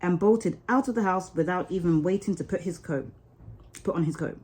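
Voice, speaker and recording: A woman storyteller reading a children's story expressively, with deliberate, dramatic phrasing. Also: lowish voice, mellow, breathy edge.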